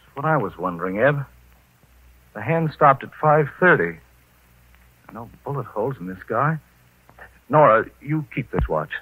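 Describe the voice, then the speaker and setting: An actor playing a calm, suave married private detective, probing and soothing, in 1940s radio style on an old recording. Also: male voice, medium pitch, normal speed, long pauses, breathy edge, narrow, tinny audio. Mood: brisk, investigating.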